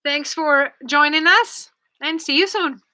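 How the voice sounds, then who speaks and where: A woman's voice: high-pitched, measured pace, sharp tone, dry recording. A woman teaching a live online jump rope class, chatty and often checking in with "okay?". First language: Hungarian.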